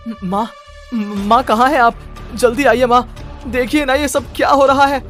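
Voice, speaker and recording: Man acting a high-pitched, panicked young man. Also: steady tone, unhurried, a bit bright, soft background music. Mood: frightened. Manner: agitated, pleading, stammering. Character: panicked, frantic young male writer afraid of spirits. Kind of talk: animated horror story dialogue.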